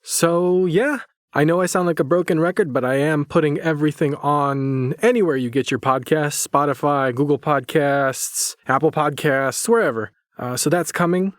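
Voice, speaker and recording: A man's voice: fairly high pitch, normal speed, no room sound, studio quality. A young man from Poland chatting informally and a bit hesitantly to listeners as host of a story channel. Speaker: host and writer of horror audio stories. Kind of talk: casual outro chat to listeners.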